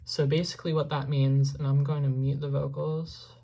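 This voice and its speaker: A woman with a low, flat voice and a gravelly, husky edge. She walks through her vocal setup in a casual tutorial, rambling with fillers and apologizing when she loses the thread. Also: normal speed. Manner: rambling, self-deprecating, lots of "like" and "um". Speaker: musician explaining her vocal production.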